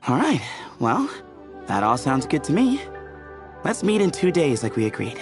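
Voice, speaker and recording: Decisive leader, animated pitch. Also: male voice, moderate pace. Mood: firm, friendly. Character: decisive, practical expedition organiser. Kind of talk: video game voice acting. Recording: music clearly audible behind the voice.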